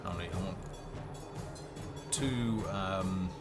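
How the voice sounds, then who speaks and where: A man with a fairly low voice talks through a live game session as its developer host. He thinks aloud, hesitating as he goes. Game music plays clearly behind him. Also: slow. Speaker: game developer hosting a livestream. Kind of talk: live gameplay commentary.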